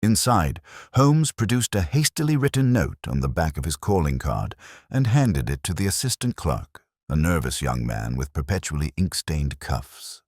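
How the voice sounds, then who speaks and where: A man narrating a scripted story in a measured, literary, dramatic manner. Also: a bass voice, very animated, darkish tone, no echo, studio quality.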